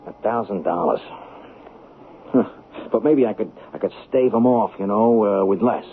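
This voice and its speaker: An American actor playing an edgy, bullying small-time crook in debt, in a 1970s radio drama style. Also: male voice, on the low side, moderate pace, lightly acted. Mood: sheepish, wheedling. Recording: tinny, noisy 1970s radio recording.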